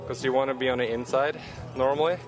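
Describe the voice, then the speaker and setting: A man, an athlete, explaining racing in a relaxed way outdoors into a handheld mic. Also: mid-range voice, fast, music in the background. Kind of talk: casual interview answers. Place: outdoors at a ski course.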